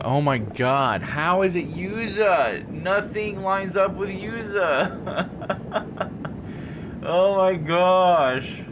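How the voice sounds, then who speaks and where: A man's voice: on the high side, background noise. A man giving casual live commentary as he plays a game, thinking aloud in disbelief. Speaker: game streamer. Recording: music behind the voice. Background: game music.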